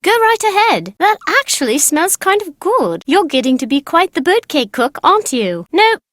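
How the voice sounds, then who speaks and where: A high, childlike voice for a bookish young girl in a video game. She is precocious and playful, with a matter-of-fact confidence. The sound is very dry and clean of any room. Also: sing-song, normal speed, fairly bright tone, dry recording, exaggerated voice. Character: bright, cheeky, bookish young girl who loves games. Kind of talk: video game character voice acting.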